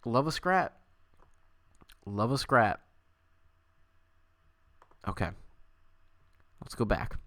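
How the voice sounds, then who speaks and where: Male livestream host, playful and theatrical reader, medium pitch, very dry close sound. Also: sing-song, very slow, long pauses, fairly bright tone, no room sound. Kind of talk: dramatic fan fiction reading and casual stream chat.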